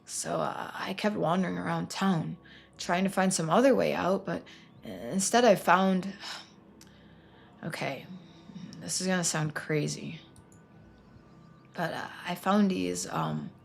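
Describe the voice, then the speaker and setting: A woman voicing a troubled young man trapped in his town, speaking haltingly and sometimes in a near whisper, for a story game. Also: on the low side, gravelly, a little hiss or hum. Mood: anxious, haunted.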